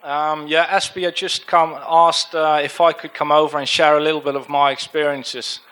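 A man's voice: a little high, little pitch movement, normal speed, pushed and bright. A young man with a Dutch accent giving an informal church talk about a mission trip, hesitant with many fillers and restarts. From Netherlands. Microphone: handheld microphone.

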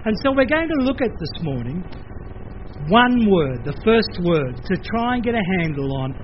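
An older man with an Australian accent, preaching a sermon in a lively conversational way, with playful asides. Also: high-pitched, moderate pace, highs cut off, slight background noise. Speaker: pastor.

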